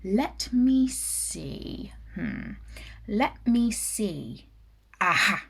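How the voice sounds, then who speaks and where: A greedy, scheming male fox from a children's storybook, acted out by a woman. He savours his words with mock politeness, and the voice has a slightly husky, creaky edge. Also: mid-range voice, big pitch swings, drawn-out words, heavily acted. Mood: sly musing. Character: sly, greedy, self-satisfied male fox. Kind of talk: children's fable character voice.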